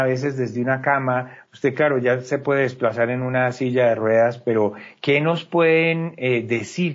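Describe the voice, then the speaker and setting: A man hosting an interview, asking questions with hesitant pauses and fillers. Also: mid-range voice, muffled recording. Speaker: host.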